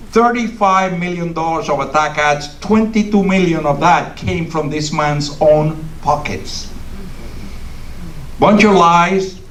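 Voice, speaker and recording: A man's voice: fairly high pitch, a bit bright, distant mic. Older Cuban-accented man, echoey hall. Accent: Cuban Spanish. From Cuba. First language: Spanish. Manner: emphatic, preacherly, builds with repetition. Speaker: guest speaker. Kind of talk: political speech. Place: meeting hall of a congregation.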